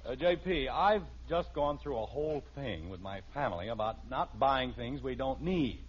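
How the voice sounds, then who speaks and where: A man's voice: medium pitch, expressive, normal speed, close to an everyday voice. An actor with an American accent playing an exasperated, blustering middle-aged suburban father in a 1950s radio sitcom. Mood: eager to please. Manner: blustering, flustered. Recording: dull, noisy old radio recording.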